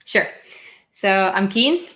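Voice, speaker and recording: A woman's voice: mid-range voice, animated, takes it slowly, fairly bright tone. Woman chatting casually. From Portugal. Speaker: interview guest, video creator. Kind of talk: casual chat, personal storytelling.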